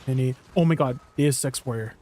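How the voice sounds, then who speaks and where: A man's voice: medium pitch, a little fast, a little mellow. A man chatting casually on a game live stream, rambling, laughing often and restarting his sentences. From Canada.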